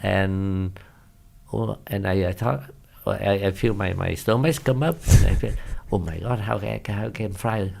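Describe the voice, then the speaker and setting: An older man in his seventies speaks with a Vietnamese accent, his low voice carrying a gravelly rasp. He talks very slowly, halting and searching for words in a language that is not his first. It sounds like a relaxed interview memory. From northern Vietnam. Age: 70s. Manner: halting, many hesitations, non-native grammar. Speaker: guest, former pilot. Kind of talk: casual interview recollection.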